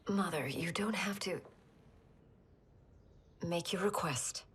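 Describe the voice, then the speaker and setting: A woman voicing a poised young warrior princess in a video game, speaking calmly and respectfully. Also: fairly low pitch, slow pace, slightly rough, heavily acted. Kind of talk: video game cutscene acting.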